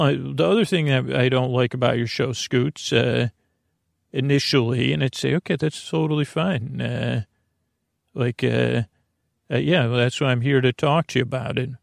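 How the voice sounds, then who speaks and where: Creaky-voiced man, rambling bedtime podcast host. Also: medium pitch, dry recording, studio quality. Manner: meandering, tangled, self-deprecating. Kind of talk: rambling bedtime monologue to the listener.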